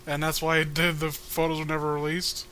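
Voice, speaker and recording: A man's voice: on the high side, completely flat delivery, brisk, bright, forceful tone, clean tone. A man co-hosting a comic read-along with casual, crude banter, swearing and rambling as he jokes. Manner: profane, joking, rambling.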